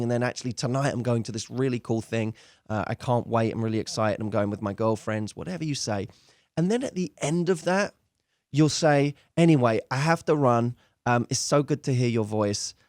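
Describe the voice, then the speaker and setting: A man's voice: mid-range voice, darkish tone, no room sound, studio quality. A man working as a dating coach, giving conversational advice straight to viewers in an emphatic way, slipping into acted-out example dialogue. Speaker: dating coach and show host.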